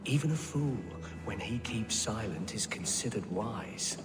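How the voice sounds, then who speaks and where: Very deep-voiced, scolding zealot. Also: female voice, expressive, a little slow. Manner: clipped, admonishing. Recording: echoing hall, music behind.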